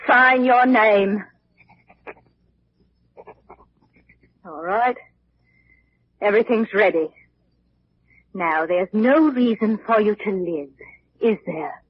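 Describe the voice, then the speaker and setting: An actress playing a cold, vengeful, domineering murderess who gives deliberate orders in an old radio thriller. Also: female voice, medium pitch, expressive, slight background noise. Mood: commanding. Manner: commanding, deliberate, menacing. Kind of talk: 1940s radio thriller acting. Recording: muffled, husky old-time radio recording.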